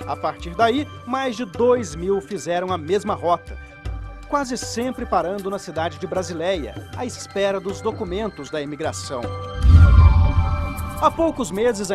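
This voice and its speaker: A man narrating a television news report in a measured, deliberate manner. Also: high-pitched, expressive, music in the background. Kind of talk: broadcast news narration and field interviews.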